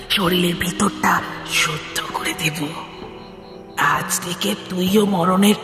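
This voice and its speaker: A menacing female ghost rasps and hisses in a long, echoing reverb. She is slow and deliberate, cruel and vengeful, as in a horror audio drama. Loud music runs behind her. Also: fairly low pitch. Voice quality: raspy, hissing, partly whispered.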